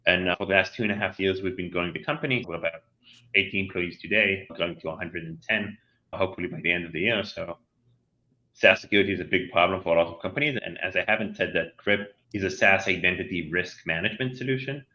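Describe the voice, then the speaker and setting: A man's voice: low-pitched, quick pace, sharp tone. A man with an Israeli accent, from Israel, explaining things at length as an interview guest and often restarting his sentences. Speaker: guest, company CEO. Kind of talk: interview answers. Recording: dull lo-fi sound.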